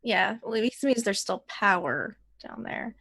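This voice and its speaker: A woman's voice: medium pitch, lively intonation, a little slow, fairly bright tone. A woman speaking casually as a player in a tabletop role-playing game. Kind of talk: casual in-character tabletop chat.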